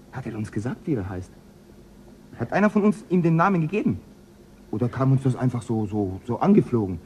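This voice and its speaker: A man's voice: medium pitch, warm tone, a little hiss or hum. An actor playing a troubled male astronaut crew member in a radio play, searching and frantic. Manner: searching, increasingly frantic calling.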